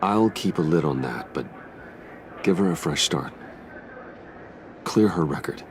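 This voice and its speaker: A man's voice: a bass voice, animated, unhurried, a little hiss or hum. An actor playing a stern, brooding male masked vigilante in a video game, speaking in a terse, grave, restrained way. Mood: guarded. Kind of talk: video game cutscene acting.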